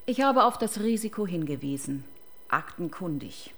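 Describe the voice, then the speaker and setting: An actress playing a cold, defiant clinic doctor under interrogation in a German radio crime drama, formal and indignant. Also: female voice, fairly low pitch, sing-song, moderate pace. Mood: defensive, cool. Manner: formal, clipped, indignant, evasive.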